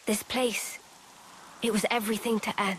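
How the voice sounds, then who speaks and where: An actress playing a gentle, resolute young woman in a dramatic fantasy game cutscene, speaking with measured, solemn tenderness. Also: a little high, little pitch movement, a little hiss or hum, close to an everyday voice, rough recording. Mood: quiet, solemn.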